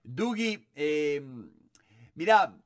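A man in his forties with a Córdoba Argentine accent talks straight to his desk camera from a book-lined home office. His voice sits a little high, and he stays animated and encouraging as he answers viewer questions, often pausing on fillers. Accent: Córdoba Argentine Spanish. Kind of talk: casual Q&A to camera. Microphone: webcam or camera at desk, close framing.

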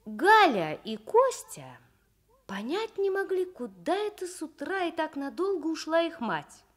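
A woman narrating a children's story in an expressive, measured storytelling manner. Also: very high-pitched. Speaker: story reader.

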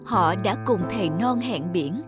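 A woman's voice: mid-range voice, lively intonation, slow pace. Woman narrating a story over music.

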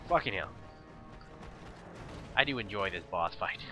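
A man's voice: sits low, animated, unhurried, music in the background. A man with a nasal, congested voice rambling and swearing while he commentates his game playthrough. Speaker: gamer commentator. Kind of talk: casual let's play commentary.

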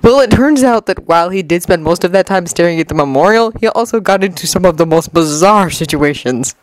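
A woman's voice: lowish voice, animated, a little fast, pitched below the performer's own voice, close to an everyday voice, poor audio. A woman voicing a sullen teenage ninja boy in a story, with a terse, grudging delivery.

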